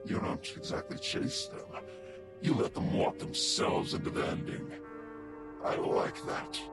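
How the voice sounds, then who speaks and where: A man voicing a taunting monster villain for a game character reel, with a breathy, whispery rasp and a mocking, theatrical delivery. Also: lightly acted. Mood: gloating menace. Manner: slow, deliberate, mocking, theatrical. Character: sinister taunting male monster villain, menacing, patient and mocking. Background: prominent music.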